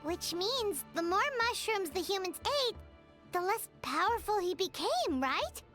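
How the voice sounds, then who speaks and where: Squeaky, chirpy game companion. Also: female voice, a little slow, faint music behind, heavily acted. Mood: musing. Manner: animated, quippy.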